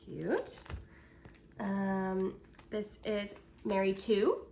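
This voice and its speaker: A woman's voice: mid-range voice, extremely slow, creaky, mellow, slight background noise. A young woman co-host chatting casually and giggling, with lots of "like" and "um". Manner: chatty, giggly, says "like" and "um" often. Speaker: podcast co-host. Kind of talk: casual chat.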